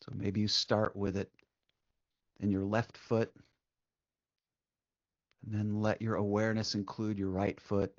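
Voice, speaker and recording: A man leading a guided meditation as the guide, speaking gently and soothingly, unhurried, with long pauses between short instructions. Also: fairly low pitch, flat delivery, no echo, highs cut off.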